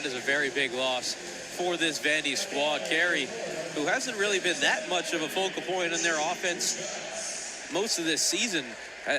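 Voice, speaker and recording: A young man giving live basketball analysis as a radio color commentator. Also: fairly high pitch. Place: courtside in an indoor basketball arena. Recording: loud arena ambience and noise.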